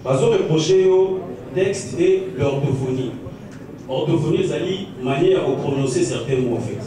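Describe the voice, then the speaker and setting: Male reporter, wordy and hesitant, slightly high voice, echoey room. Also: animated, normal speed. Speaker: journalist. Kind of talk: asking a question at a press conference. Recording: echoey hall, distant mic, crowd.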